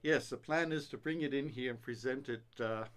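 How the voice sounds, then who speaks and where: A man chatting casually as a studio guest, halting and hesitant, with many ums and some throat clearing. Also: mid-range voice, fairly bright tone. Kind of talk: casual chat about ham radio.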